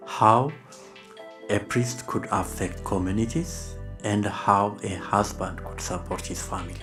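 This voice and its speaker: A man explaining a program in a documentary interview. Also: fairly low pitch. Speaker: project team member explaining a radio drama program. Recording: music bed under interview. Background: music.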